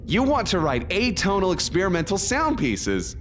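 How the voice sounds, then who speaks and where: A woman's voice: lowish voice, wildly swooping pitch, moderate pace, slight background noise. A woman playing a sarcastic, incredulous young opera soprano in an audio comedy sketch. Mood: earnest.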